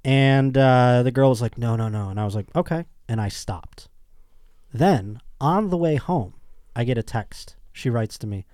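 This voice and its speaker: A man's voice: medium pitch, moderate pace, no echo, studio quality. A man in his thirties hosting a podcast, chatting casually and teasing his guest, often acting out quotes. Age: 30s. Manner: animated, teasing, acts out quotes. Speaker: podcast host. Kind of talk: casual chat.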